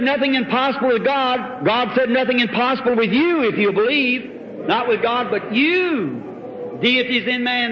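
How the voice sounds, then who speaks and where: Guy preaching revival style, really high voice, slow delivery, old noisy muffled recording. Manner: exclamatory, exhorting, folksy. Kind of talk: Pentecostal revival sermon. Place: large hall, speaking from a platform. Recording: dull, noisy old hall recording, long reverb.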